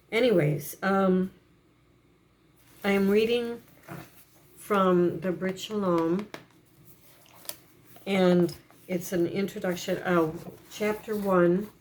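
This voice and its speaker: A woman with a froggy voice, hesitantly reading and explaining a religious text with many pauses and fillers. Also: mid-range voice, darkish tone, a little hiss or hum. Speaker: religious teacher.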